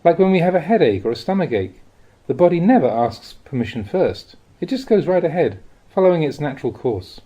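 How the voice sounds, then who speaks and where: A man reads Buddhist teachings as an audiobook. His voice is mellow and a little husky, with a mid pitch and lively rises and falls. The delivery is measured and explanatory. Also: muffled recording. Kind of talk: audiobook reading of a spiritual talk.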